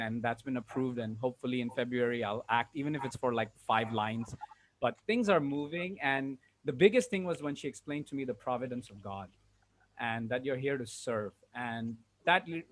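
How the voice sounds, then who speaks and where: Man from Hong Kong, clear background noise. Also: medium pitch, a bit bright. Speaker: public speaking trainer and coach. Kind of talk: personal testimonial to an online group. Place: online group video call. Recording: noisy online call, uneven level.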